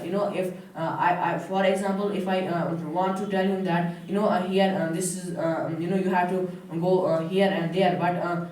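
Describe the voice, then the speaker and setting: A woman's voice: fairly low pitch, steady tone, moderate pace, few pauses, a little mellow. A woman from Kashmir, speaking English with an Indian accent as a second language, hesitant with many fillers and restarts in a practice conversation. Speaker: English student. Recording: echoey room, far from mic.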